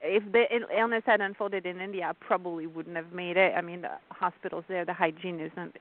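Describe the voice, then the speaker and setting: A woman's voice: lowish voice, fairly even intonation, a little fast. A woman from France, speaking as a radio interview guest in an earnest, reflective way. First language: French. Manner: earnest, reflective, with frequent fillers and soft laughs. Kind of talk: interview conversation. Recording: tinny phone line.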